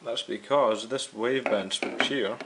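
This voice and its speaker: A man's voice: mid-range voice, unhurried, a bit bright, slight background noise. A man hosting a hands-on repair video, talking casually and explaining each step directly to his viewers. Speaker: host of a radio restoration series.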